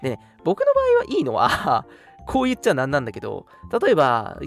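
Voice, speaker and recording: A young man chats casually as a radio co-host over background music. He talks quickly and his pitch jumps about a lot. He is agreeable and chatty, often echoing his partner. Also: huge pitch swings, creaky. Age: young adult. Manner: agreeable, chatty, frequent backchannels. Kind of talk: casual chat. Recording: music bed under the talk.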